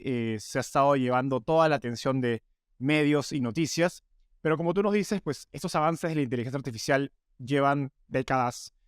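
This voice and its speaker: A man's voice: on the high side, dry recording, clean studio sound. A man hosting an interview podcast, presenting and asking questions. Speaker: podcast host. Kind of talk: scripted narration and podcast interviewing.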